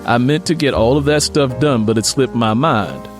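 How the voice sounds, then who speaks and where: A man's voice: medium pitch, animated, normal speed, music in the background, lightly acted. A man voicing a hesitant, apologetic, henpecked husband in an audiobook story. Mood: apologetic, defensive.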